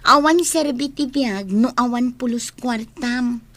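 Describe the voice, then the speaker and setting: A woman's voice: on the high side, slow, dry recording. A woman with an Ilocano accent playing a sassy, money-minded young student in a radio drama, teasing and theatrical. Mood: scornful.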